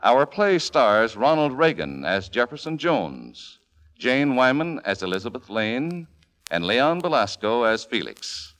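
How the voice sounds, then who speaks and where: A man with an American accent announcing formally and grandly in measured 1940s radio style. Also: medium pitch, sing-song, fairly bright tone. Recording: old 1940s radio recording, music behind. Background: music.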